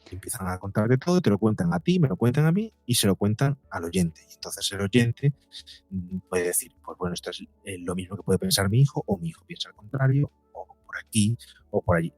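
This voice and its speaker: A man's voice: lowish voice, big pitch swings, a little fast, darkish tone, dry recording, soft background music. A middle-aged man with a Spain accent answering conversationally as a podcast guest, reflective and repeating phrases for emphasis.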